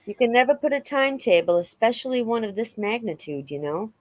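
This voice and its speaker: A woman's voice: fairly high pitch, animated, fairly quick, dry recording. A woman reading the part of a sympathetic, agreeable investigator in a conversational dramatic reading of a police interview. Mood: understanding.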